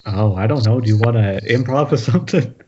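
A man's voice: medium pitch, fairly quick, muffled recording, heavy background noise, close to an everyday voice. A man playing a blunt, erratic horror writer turned director in a comedic table read over an internet call. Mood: offhand, blunt. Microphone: remote video-call microphone.

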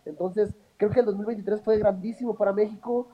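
A Mexican man with a Mexican accent, a fighter talking as an interview guest in a relaxed, chatty way, full of filler words. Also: sits high, fairly quick, highs cut off. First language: Spanish. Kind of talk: casual interview chat.

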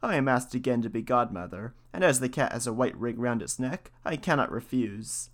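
A greedy, selfish female cat from a fairy tale, as a narrator puts her on. She talks in a cool, offhand, middling-pitched voice, sly and storybook in style. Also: lively intonation, a little fast, lightly acted. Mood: smooth, scheming.

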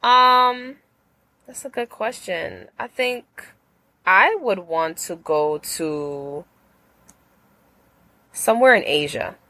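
A woman's voice: medium pitch, expressive, slow pace, long pauses, pushed and bright, no echo. A woman guest in a casual chat, thoughtful and a bit hesitant.

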